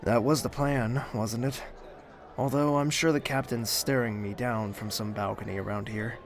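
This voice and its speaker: A man's voice: medium pitch, fairly even intonation, normal speed, soft background music, natural voice. A man playing a reserved young prince in an audio drama, speaking formally and guardedly with dry wit. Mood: reserved, wry. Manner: formal, guarded, gently teasing. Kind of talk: audio drama dialogue and narration.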